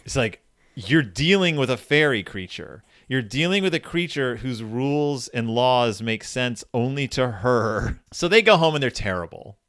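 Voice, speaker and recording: Male podcast host, lively swooping intonation, medium pitch, casual retelling, enthusiastic, some reading aloud, dry sound. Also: moderate pace, no echo. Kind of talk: casual story discussion with read-aloud passages.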